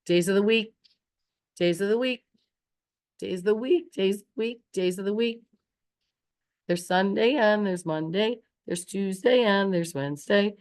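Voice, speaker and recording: A woman's voice: medium pitch, gravelly. A woman teacher with a stuffy nose and scratchy throat, leading a recorded morning meeting in a sing-song, encouraging voice for young children.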